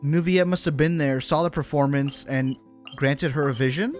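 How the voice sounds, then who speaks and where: A man's voice: a little high, moderate pace. A man giving casual, exclamatory live commentary on a game, swearing freely. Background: game music.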